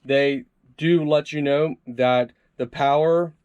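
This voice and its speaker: A man's voice: on the high side, fairly even intonation, slow, a little mellow, clean tone, no room sound, lo-fi sound. A man doing a casual, upbeat hands-on product review, chatting to his viewers as he tries things out.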